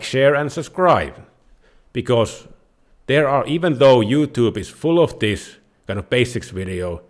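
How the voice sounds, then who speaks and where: A man with a Finnish accent talks viewers through the basics at an unhurried, deliberate pace. His delivery is halting, with pauses and restarted sentences, and his pitch sits in an ordinary middle range. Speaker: host of a personal finance channel. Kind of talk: unscripted explainer talking to viewers.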